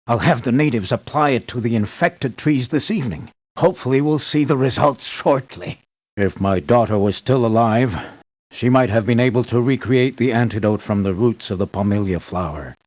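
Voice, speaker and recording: Earnest older man, expressive game voice. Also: mid-range voice, husky, exaggerated voice. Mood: relieved, approving, then sad, subdued. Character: anxious older man, island estate owner and devoted father. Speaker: employer briefing hired mercenaries. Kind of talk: scripted video game voice acting.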